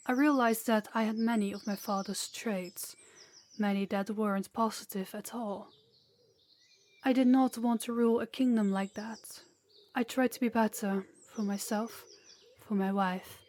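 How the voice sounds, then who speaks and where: A woman playing a sincere, remorseful queen in a fantasy audio drama, speaking in a formal, measured way. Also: mid-range voice, darkish tone, close to an everyday voice. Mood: reflective. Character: earnest, remorseful queen of a kingdom, gracious and formal. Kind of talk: scripted fantasy audio roleplay.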